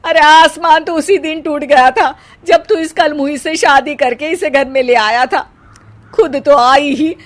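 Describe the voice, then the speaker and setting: A woman's voice: high voice, steady tone, measured pace. A woman voicing a haughty, nagging older mother-in-law in a family cartoon, scornful and melodramatic. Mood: angry wailing. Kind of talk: Hindi family cartoon dubbing.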